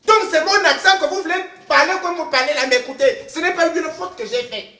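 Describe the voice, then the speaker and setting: A performer playing a loud, bossy middle-aged woman who hosts a comic talk show and jokes about her own accent. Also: very high-pitched, brisk, exaggerated voice. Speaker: comedy sketch host. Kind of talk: comedic talk-show parody. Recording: echoey room.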